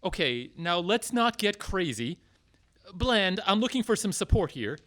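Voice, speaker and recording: A man's voice: high voice, big pitch swings, measured pace, a bit bright, full character voice. A man playing an earnest, theatrical, easily wounded outlaw leader in a comic radio play. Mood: meek, hurt. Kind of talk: radio-play comedy acting.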